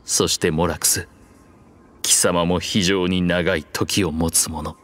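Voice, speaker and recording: An actor playing an ancient, proud dragon king in human form, speaking gravely and formally in a measured way for a game. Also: low voice, fairly even intonation, fairly bright tone, a little hiss or hum. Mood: solemn resolve. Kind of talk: Japanese game voice acting, archaic dramatic dialogue.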